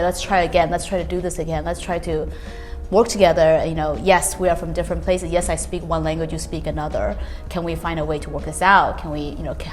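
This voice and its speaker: A woman from Taiwan speaking earnestly on a panel, searching for words with many restarts. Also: lowish voice. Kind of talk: reflective panel talk.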